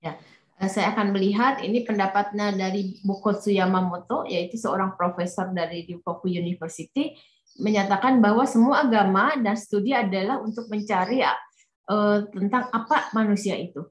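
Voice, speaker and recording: A woman's voice: medium pitch, normal speed. A woman interpreting a lecture, hesitant, with many fillers and restarts. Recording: roomy echo.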